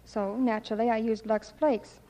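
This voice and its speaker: A woman's voice: fairly high pitch, fairly even intonation, moderate pace, dark tone. A young woman from Ohio, a guest starlet giving a scripted 1940s radio interview and testimonial. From United States, Ohio. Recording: dull, reverberant old radio broadcast.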